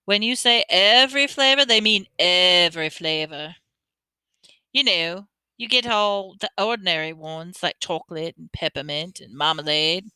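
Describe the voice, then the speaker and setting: A woman's voice: on the low side, normal speed, pushed and bright, clear, resonant tone, dry recording. A woman doing a chatty schoolboy, Ron. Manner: chatty and offhand. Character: casual good-humoured young schoolboy.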